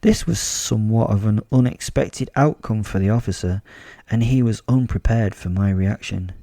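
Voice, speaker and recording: A gravelly British werewolf, played by a man, tells his horror story in a dark, mellow voice. He is a sardonic, unhinged serial killer who swears freely and savours every bit of gore, recorded close and dry for audio drama. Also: on the low side, moderate pace, no echo, natural voice. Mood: blissful, menacing. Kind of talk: first-person horror audio drama narration.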